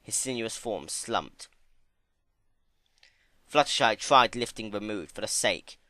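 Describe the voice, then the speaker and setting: A man's voice: lowish voice, expressive, takes it slowly, bright, forceful tone, dry recording. A man narrating a story as a dramatic scripted reading.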